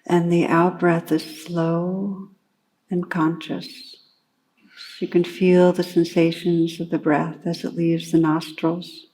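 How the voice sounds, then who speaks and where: A woman meditation teacher leading a guided meditation in a calm, unhurried way, gently instructing with long pauses. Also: lowish voice, rough recording.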